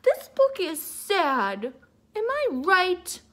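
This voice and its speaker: A woman reading a storybook aloud like a teacher to children, theatrical and expressive, with asides to her listeners. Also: very high voice. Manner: theatrical, expressive, drawn-out emphasis.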